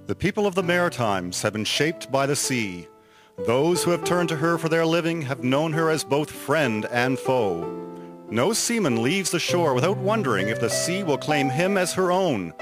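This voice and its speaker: A man narrating a scripted dramatic story in a measured, solemn way. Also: fairly high pitch, expressive. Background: music.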